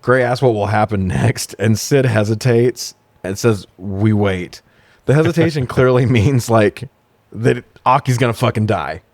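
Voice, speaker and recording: A man's voice: lowish voice, a little slow, a little mellow, no room sound. An American man co-hosting a casual comedic movie recap, sarcastic and freely swearing. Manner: profane, sarcastic. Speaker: podcast co-host.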